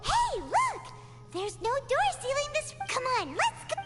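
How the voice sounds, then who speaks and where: Squeaky, very high childlike game companion. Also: female voice, wildly swooping pitch, measured pace, heavily acted. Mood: excited. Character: tiny childlike female companion creature, curious and chirpy. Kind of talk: video game voice acting. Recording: reverberant, under loud game music.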